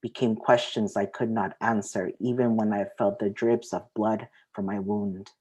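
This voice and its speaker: A woman's voice: a bass voice, fairly even intonation, normal speed, a little mellow, husky. A woman, sharing her poetry at an open mic. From Mexico, raised partly in the US Southwest. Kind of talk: spoken-word poetry reading with casual introduction.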